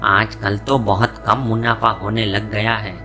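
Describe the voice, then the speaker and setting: A man playing a hotel-owner boss in an animated moral story. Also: lowish voice, a little slow, faint music behind. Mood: brooding.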